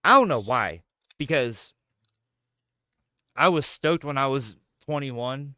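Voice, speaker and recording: A man in his thirties bantering as a gaming streamer, his voice swinging up and down with lots of life. The tone is bright and clean, and the sound is very dry and close, with no room around it. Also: medium pitch, takes it slowly, no echo. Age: 30s. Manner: joking, profane, animated banter. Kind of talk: casual chat while gaming.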